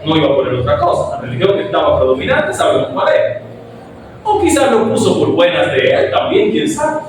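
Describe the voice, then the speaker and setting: Young Dominican man, speech full of rhetorical questions, high animated voice, distant mic in a very echoey hall. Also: slight background noise. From Dominican Republic. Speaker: philosophy student presenter. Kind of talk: academic speech. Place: event hall before an audience.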